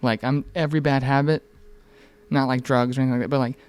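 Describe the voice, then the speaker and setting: A man's voice: medium pitch, steady tone, fast, smooth. A man in his mid twenties chatting candidly on a podcast in an informal, rambling, earnest way, with some swearing. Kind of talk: casual, candid podcast conversation.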